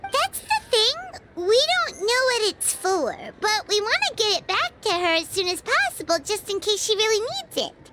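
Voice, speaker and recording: A woman voicing a tiny, childlike, excitable fairy companion in a video game, with a squeaky, perky delivery. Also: normal speed, heavy background noise. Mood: explaining. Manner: perky, exclamatory.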